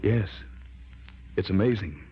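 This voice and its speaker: A man's voice: low voice, expressive, a little slow, a little hiss or hum. An actor playing an earnest male scientist's assistant who recounts an eerie tale in an old-time radio drama. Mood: hesitant, insistent. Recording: muffled vintage radio recording with music.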